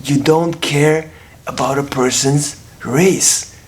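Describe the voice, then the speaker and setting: A man's voice: medium pitch, steady tone, slow pace. A man in his twenties from Russia with a Brooklyn accent, talking casually to camera in a rambling way, repeating himself and restarting often. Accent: Brooklyn New York. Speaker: vlogger.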